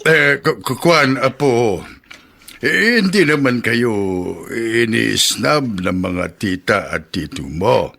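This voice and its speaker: An actor playing a gentle old grandfather in a radio drama, halting and reassuring as he speaks. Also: medium pitch, lively intonation, creaky, heavily acted. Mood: soothing. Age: older. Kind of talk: Tagalog radio drama acting.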